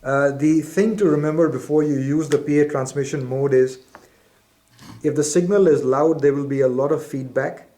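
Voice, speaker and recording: A man's voice: on the high side, fairly even intonation, moderate pace, mellow. A man presenting a product in an instructional demonstration, explaining methodically step by step.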